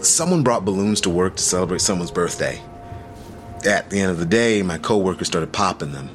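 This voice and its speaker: An actor playing a reserved, candid trauma survivor in naturalistic, slightly hesitant audio drama dialogue. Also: male voice, deep voice, expressive, a little fast, music in the background. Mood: confiding, troubled. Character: reserved male trauma survivor working in game design.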